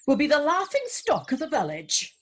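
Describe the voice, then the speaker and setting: A huffy village lady played by a woman in a radio pantomime. Her voice is high and swoops with indignation. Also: huge pitch swings, normal speed. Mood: indignant.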